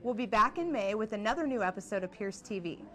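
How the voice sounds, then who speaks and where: A woman's voice: medium pitch, expressive, quick pace, a bit bright. A woman hosting a college TV show, reading scripted presenter links. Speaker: TV show host.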